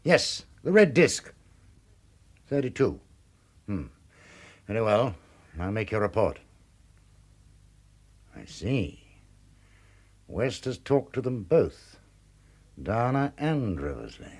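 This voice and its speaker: A ruthless criminal mastermind in a radio thriller. His voice is husky and swings widely in pitch. He gives curt, imperious orders with cold control. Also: big pitch swings, slow, pauses often, full character voice. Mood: coldly controlled. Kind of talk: radio drama acting.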